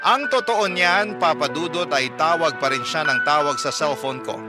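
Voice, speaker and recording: Higher-pitched male storyteller over loud music. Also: moderate pace. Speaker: radio host narrating a listener's letter. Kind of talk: dramatic first-person story narration. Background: prominent background music.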